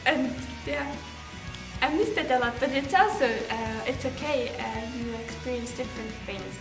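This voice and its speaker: A woman's voice: on the high side, moderate pace. A teenage girl from Belarus, a native Russian speaker, answering interview questions in English with many fillers and restarts. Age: teens. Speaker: exchange student. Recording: echoey room with music behind.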